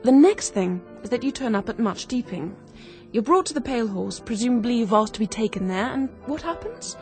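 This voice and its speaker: High-voiced keen young woman sleuth. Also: sing-song, brisk, darkish tone, faint music behind. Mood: excited, intent. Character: keen, quick-witted young woman turned amateur sleuth. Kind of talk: radio drama acting.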